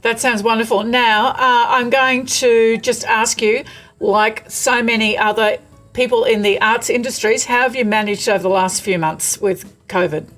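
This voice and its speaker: Higher-voiced Australian woman interviewing casually. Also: fairly even intonation, moderate pace, a bit bright, soft background music. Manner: encouraging, appreciative. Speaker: interviewer, podcast host.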